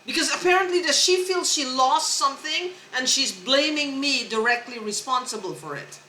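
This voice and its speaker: A woman talking to camera in a rambling personal monologue, halting, restarting and repeating words, earnest and insistent. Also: sits high, animated, bright, forceful tone, slight background noise. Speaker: vlogger. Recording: echoey room recording.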